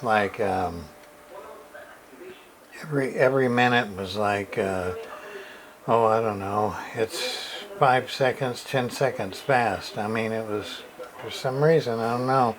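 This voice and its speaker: A man giving a casual, unscripted repair demonstration, haltingly thinking aloud as he explains his work. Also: lowish voice, crowd noise, poor audio. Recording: clear background noise.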